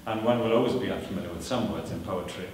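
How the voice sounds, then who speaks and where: A man's voice: sits low, darkish tone, a little hiss or hum. Man speaking in an echoey hall. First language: Welsh. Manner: measured, thoughtful, with many fillers and restarts. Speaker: performer giving a talk. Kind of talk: lecture answering audience questions. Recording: echoey hall recorded from a distance.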